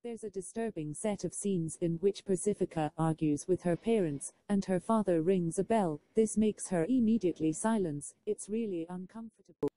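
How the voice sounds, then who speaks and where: A woman's voice: on the low side, normal speed, few pauses, dark tone, no echo, rough recording. A woman delivering scripted review narration.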